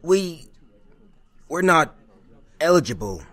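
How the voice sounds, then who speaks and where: A man plays an anxious royal guard in a comic dub. His fairly high voice is husky and jumps around as he stammers out deferential, halting pleas. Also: sing-song, a bit bright, dry recording, exaggerated voice. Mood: nervous, hushed urgency. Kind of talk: amateur comic dub acting.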